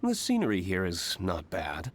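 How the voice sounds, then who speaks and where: A man voicing a guarded, skeptical but quietly considerate investigator in a video game, composed and formal. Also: sits low, huge pitch swings, moderate pace, a little hiss or hum. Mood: wary, composed. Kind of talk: scripted video game character dialogue. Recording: music behind the voice.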